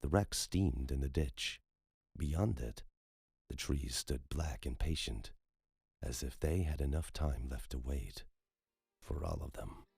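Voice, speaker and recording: A man's voice: rumbling low, animated, takes it slowly, vocal fry, darkish tone, breathy edge, no room sound, clean studio sound. A man narrating scripted horror fiction as a storyteller.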